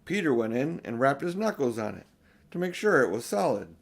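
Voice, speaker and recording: A man's voice: mid-range voice, big pitch swings, brisk, vocal fry. An older man narrating a children's storybook expressively as an audiobook reader.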